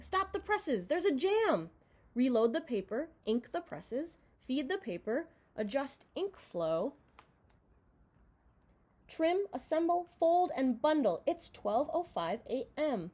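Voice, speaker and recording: A young woman reads a picture book aloud for children. Her voice is fairly high and swings widely in pitch, giving the narration a very expressive, dramatized delivery. She is recorded indoors at home. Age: young adult. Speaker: storybook reader.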